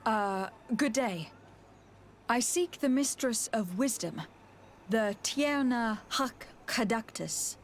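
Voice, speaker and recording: A woman's voice: on the high side, animated, slow pace, slight background noise. A woman playing a courteous, earnest diplomat in a video game, with formal phrasing. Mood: polite. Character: courteous female diplomat adventurer, earnest and persistent. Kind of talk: video game dialogue acting.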